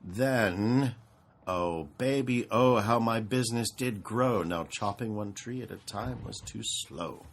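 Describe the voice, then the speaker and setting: A man reading a rhyming storybook aloud with expressive narration. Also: lowish voice, measured pace, hardly any pauses, a little hiss or hum. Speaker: storybook reader.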